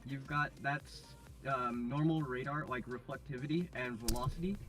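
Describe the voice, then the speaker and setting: A man co-presenting a live stream, giving casual, halting technical explanations in an informal way. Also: medium pitch, a bit bright, faint music behind, rough recording.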